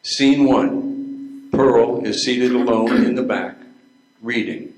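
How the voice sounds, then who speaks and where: A man's voice: medium pitch, slow, a little mellow. An older man reading scripted narration as the narrator of a readers theater play. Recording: echoey room.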